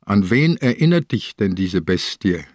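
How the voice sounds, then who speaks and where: Radio crime drama: stubborn old inspector, ailing and insistent, very slow delivery, dry studio sound. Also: male voice, lowish voice, sing-song, darkish tone, dry recording. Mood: probing. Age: older. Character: old, sick, stubborn male police inspector.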